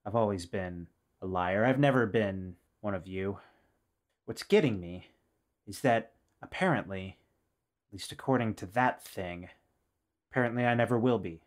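A man voicing a guarded, self-doubting pirate assassin in a measured, understated way for a tabletop game. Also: lowish voice, close to an everyday voice. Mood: melancholy, confessional.

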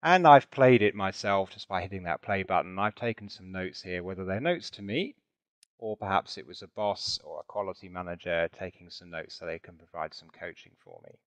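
A man narrating a software demonstration in a chatty, informal way, with conversational asides. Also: sits low, animated, fairly quick, no room sound. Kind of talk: conversational product demo narration with role-play.

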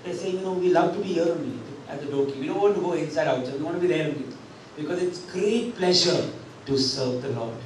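A man's voice: on the high side, quick pace, slight background noise. A man in his thirties preaching a sermon as pastor into a handheld mic in a church hall, talking conversationally with many fillers and restarts. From India. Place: church hall with drum kit. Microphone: handheld microphone. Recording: very echoey hall, picked up from a distance.

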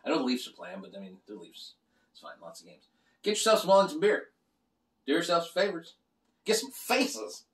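A man's voice: a little high, brisk, pauses often. Man, forties, rambling beer review, echoey small room, camera across the table. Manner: animated, joking, gestures a lot while talking. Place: small room lined with beer shelves, indoors. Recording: roomy, slightly distant sound.

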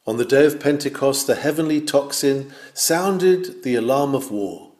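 A man's voice: mid-range voice, moderate pace, darkish tone. Man reading an old sermon, roomy echo. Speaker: sermon reader. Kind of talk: reading a 19th-century sermon aloud.

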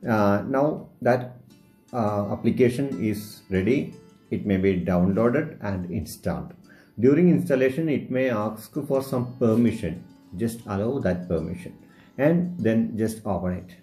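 A man with an Indian English accent and Malayalam as his first language, talking through a screen-recorded phone tutorial with many pauses and filler sounds. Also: medium pitch, dark tone. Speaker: teacher.

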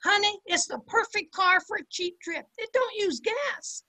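Sitcom housemaid, played by an older woman: very high, bright voice, brassy slangy wisecracks, 1950s radio comedy. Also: animated, moderate pace, exaggerated voice. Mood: wisecracking. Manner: sardonic, slangy, punchy delivery. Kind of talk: amateur old time radio comedy acting. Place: indoor room at home, video call. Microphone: computer mic on a video call.

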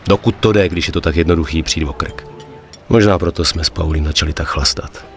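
Cynical young mobster, very low and world-weary, game drama with music behind. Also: male voice. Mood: weary, reflective. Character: weary, cynical young gangster recounting his criminal life. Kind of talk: dramatic game cutscene dialogue and narration. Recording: music under the voice.

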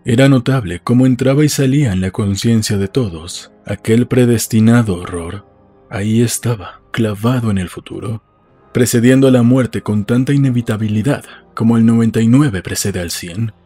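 A man's voice: mid-range voice, normal speed, warm tone, slightly rough. A man narrating a novel as a scripted literary audiobook. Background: faint music.

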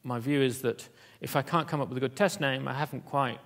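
A man giving a conference keynote in an auditorium, talking in a halting way with many fillers and restarts. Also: medium pitch, a bit bright. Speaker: keynote speaker.

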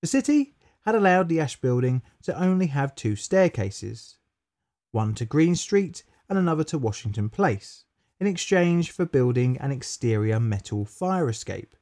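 Male documentary narrator, mid-pitched voice, close voice-over mic; steady scripted delivery that leans on key words. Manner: measured, matter-of-fact storytelling with stressed key words.

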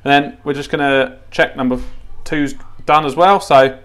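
A man's voice: fairly high pitch, steady tone, normal speed, a bit bright. A man giving a casual, unscripted hands-on tutorial, informal, repeating himself and thinking aloud as he goes. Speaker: presenter of a gadget how-to. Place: indoors, tiled kitchen counter.

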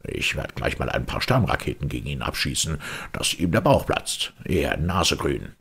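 A grumpy, ancient little sandman, acted out by a male storybook reader, blusters and bosses. The voice is gravelly and very husky. It swings excitably up and down like a fairy-tale character. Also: extremely low pitch, normal speed, exaggerated voice. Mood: indignant, agitated. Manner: blustering, bossy.

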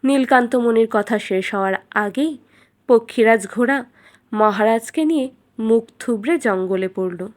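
A woman tells a children's fairytale as a narrator. Her voice is fairly high, and she reads in a scripted storytelling style. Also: a little slow.

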